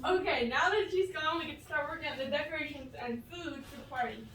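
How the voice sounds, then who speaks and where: A woman's voice: sits high, brisk, a bit bright. A woman voicing an eager, organizing puppet friend in an amateur puppet show. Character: Lily, organizing puppet friend planning a party. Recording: roomy, noisy, distant microphone.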